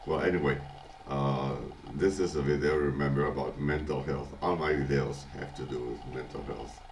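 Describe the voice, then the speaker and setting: An older man with a congested, hoarse voice from illness, talking in a halting casual video diary at home, often chuckling. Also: a bass voice, steady tone, background noise. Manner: halting, many restarts and fillers, chuckles often. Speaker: vlogger. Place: at home, early morning.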